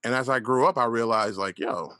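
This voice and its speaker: A man's voice: mid-range voice, brisk, pushed and bright, no echo. A man in his late thirties hosting a solo podcast, talking candidly and telling stories with plenty of fillers and swearing. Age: 30s. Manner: candid, profane, storytelling with lots of fillers. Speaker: podcast host. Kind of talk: casual solo monologue.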